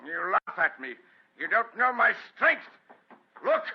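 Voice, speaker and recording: An actor playing a menacing, domineering English doctor in a radio drama, with a gravelly, blustering delivery. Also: male voice, high-pitched, very animated, unhurried, exaggerated voice. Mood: furious, bullying. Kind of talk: vintage radio drama acting. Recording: dull, lo-fi radio recording.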